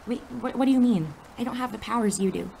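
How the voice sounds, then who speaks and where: A woman's voice: on the high side, expressive, fast talker, creaky, darkish tone, slight background noise, poor audio. A woman playing a wary, sarcastic teenage schoolgirl pulled into superhero business, blunt and skeptical, in an audio drama. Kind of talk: audio drama acting with first-person narration.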